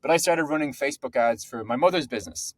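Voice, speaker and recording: A man's voice: mid-range voice, animated, fast, no echo, rough recording. A man in his thirties with an Irish accent, a podcast guest talking conversationally, often repeating words and adding asides. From Ireland. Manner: chatty, repeats words, says "to be honest". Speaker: podcast guest, software co-founder. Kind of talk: conversational interview.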